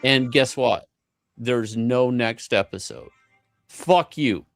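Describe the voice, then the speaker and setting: A man's voice: mid-range voice, takes it slowly, gravelly, soft background music. A man co-hosting a livestream in casual chat, telling stories in an exasperated, profanity-laced rant. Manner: exasperated, profane ranting storyteller. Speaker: livestream co-host.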